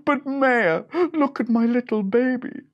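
A man voicing a desperate young mother in a high, shrill falsetto. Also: measured pace, highs cut off, lightly acted. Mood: pleading.

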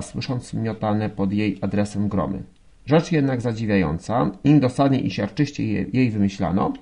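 A man reading a literary audiobook as an expressive narrator. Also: lowish voice, vocal fry, husky. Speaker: audiobook reader.

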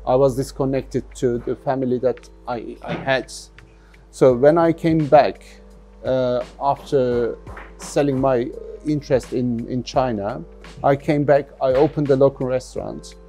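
A middle-aged man from London giving thoughtful interview answers as a business founder, haltingly and often repeating words. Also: medium pitch, fairly even intonation, warm tone, faint music behind. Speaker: jeans factory founder being interviewed.

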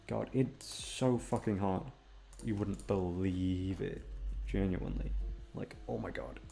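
Low, creaky-voiced man rambling casually. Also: slow, warm tone, faint music behind. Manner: aimless, self-deprecating, heavy casual swearing. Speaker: voice actor and channel host. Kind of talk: casual rambling outro chat.

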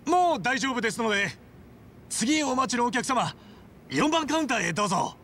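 An actor playing an earnest, hot-tempered former yakuza hero in a video game, with rough but decent speech. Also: male voice, very high voice, lively intonation, moderate pace, bright, forceful tone, a little hiss or hum, exaggerated voice. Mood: polite, projecting. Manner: rough slangy speech, polite to workmates. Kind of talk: dramatic video game voice acting.

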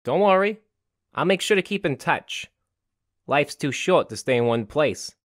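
Cocky drifter vampire, swooping delivery. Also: male voice, fairly high pitch, animated, measured pace, no echo, clean studio sound. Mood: breezy, restless. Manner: slangy, breezy, teasing. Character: restless young male drifter vampire, cocky and sly. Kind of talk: visual novel game voice acting.

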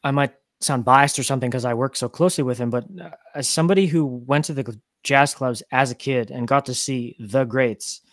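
A man's voice: medium pitch, fairly quick, few pauses. The young singer on a music show's video call, a man talking sincerely and thankfully up close into his own mic, no room echo. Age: young adult. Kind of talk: casual interview chat. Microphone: studio microphone on an arm, close.

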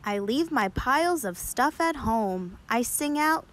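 Slow, animated woman reading a picture book. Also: a little high. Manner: deliberate, child-directed storytelling. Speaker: teacher reading aloud to children. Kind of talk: expressive picture book read-aloud.

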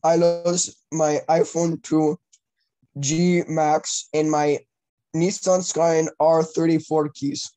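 A man's voice: a little high, little pitch movement, slow pace, dark tone. A teenage male talking casually and crudely while making prank calls from a small room at home. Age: teens. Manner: crude, foul-mouthed. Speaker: prank caller. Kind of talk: casual prank call banter.

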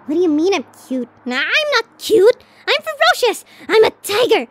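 A woman's voice: extremely high pitch, big pitch swings, moderate pace, a little hiss or hum. A woman voicing a bashful, tender tsundere college girlfriend in a romantic ASMR roleplay, flustered and hesitant as she swings between protest and affection. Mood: playful, bashful.

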